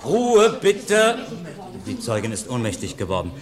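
A man playing an authoritative presiding judge in a radio crime play, formal, measured and commanding. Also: medium pitch, huge pitch swings, crowd noise. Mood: calling for order, then concerned, official.

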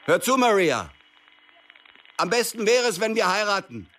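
An actor playing an earnest, protective man in radio drama dialogue, speaking in a halting way. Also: high-pitched, very animated, pushed and bright, exaggerated voice, low-quality recording. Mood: careful, earnest.

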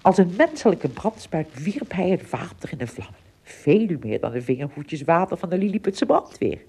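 A woman with a husky voice narrating an adventure radio play as scripted storybook narration. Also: lowish voice, very animated, moderate pace, a little mellow.

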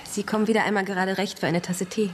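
An actress plays a genteel woman in a postwar radio crime drama. She is courteous and formal, with a medium-pitched voice and faint background sound. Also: steady tone, fast talker, slight background noise, lightly acted. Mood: gracious. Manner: courteous, formal small talk. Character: polite, genteel, troubled woman in postwar Germany.

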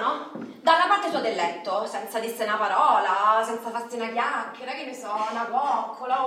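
An actress playing a frustrated, naive and dreamy working-class Roman wife in a radio play, rambling colloquially with a Roman accent. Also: female voice, a little high, a bit bright. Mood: plaintive. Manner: colloquial, rambling, tags phrases with "no?" and "eh". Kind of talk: theatrical radio drama monologue in dialect. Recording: roomy, echoing, distant mic, faint noise.

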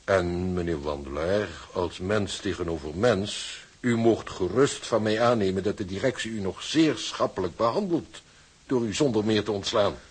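Condescending, husky company official. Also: male voice, lowish voice, moderate pace. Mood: smug. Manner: formal, officious, mock-polite. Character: cold, condescending male shipping company official. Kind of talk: Dutch radio drama acting.

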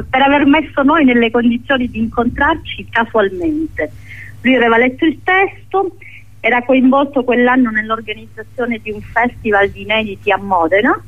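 A woman talking reflectively in an interview, hesitant, often searching for words and repeating herself. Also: on the high side. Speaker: playwright being interviewed. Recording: narrow phone-line sound.

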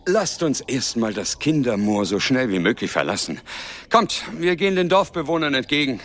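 A man playing a tender, gentle father in a radio play, speaking soothingly. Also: medium pitch, big pitch swings, normal speed. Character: tender, relieved father of a young girl.

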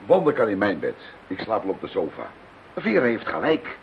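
A man acting a gruff, practical housemate in a radio drama. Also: lowish voice, huge pitch swings, brisk, full character voice. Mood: matter-of-fact. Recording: dull, hissy radio recording.

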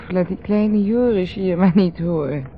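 An actress with a Netherlands Dutch accent playing a dreamy, teasing wife who rambles and repeats herself in a radio drama. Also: female voice, on the low side, slight background noise, exaggerated voice. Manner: rambling, repetitive, musing. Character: dreamy, rambling, teasing wife, drinking under a full moon. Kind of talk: 1970s radio drama acting. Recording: dull old radio recording with music.

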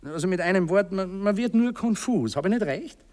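A posh viennese count, old radio play, polite and stammering, rambles philosophically, voice swings up and down a lot. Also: male voice, high voice, lively intonation, brisk, a little mellow. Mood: musing, rambling. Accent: Viennese Austrian. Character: courtly, hesitant, aristocratic count and cavalry officer, philosophising. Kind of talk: 1960s German-language radio drama acting.

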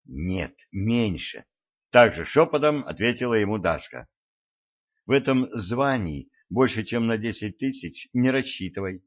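A man's voice: fairly low pitch, expressive, unhurried. Man reading an audiobook, dull lo-fi sound. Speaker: audiobook narrator. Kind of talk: scripted audiobook narration.

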